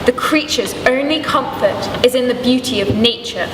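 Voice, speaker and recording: A woman's voice: a little high, moderate pace. A young woman delivering scripted narration as a storyteller. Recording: echoey church hall, distant mic, noisy.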